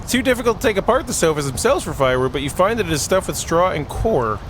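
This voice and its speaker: A man with a fairly high, very animated voice plays through a story game. He reads the narration aloud and drops in jokey asides, with faint noise in the background. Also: quick pace. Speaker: gaming video host. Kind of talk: playful let's play commentary, reading narration aloud.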